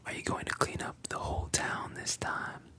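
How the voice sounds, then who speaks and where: A storyteller voicing a cruel, mocking adult male bandit leader in a soft whisper. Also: normal speed, lightly acted. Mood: sneering.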